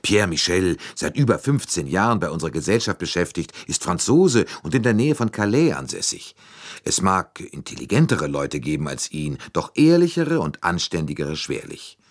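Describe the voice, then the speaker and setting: A man voicing a self-assured, excitable and genial railway director who speaks emphatically in an audiobook. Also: low voice, moderate pace, heavily acted. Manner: emphatic, pronouncing verdicts confidently. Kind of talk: audiobook character dialogue.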